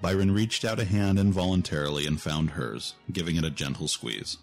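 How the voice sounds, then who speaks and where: Low-voiced male story narrator. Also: fairly even intonation, fairly quick. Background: music.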